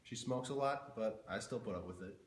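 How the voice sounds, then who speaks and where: A man's voice: fairly low pitch, fairly quick, close to an everyday voice. A man playing an easygoing, folksy 1920s boyfriend in an amateur mock news interview. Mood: fond, chatty. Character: easygoing 1920s man devoted to his flapper girlfriend. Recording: echoey room, faint background noise.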